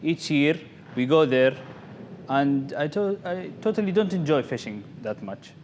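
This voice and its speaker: A man with a slightly high voice delivers a theatrical story as a contest speaker. He talks in short, deliberate phrases with dramatic pauses. The live event recording has some background noise. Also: expressive, a little mellow. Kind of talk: theatrical storytelling speech to an audience.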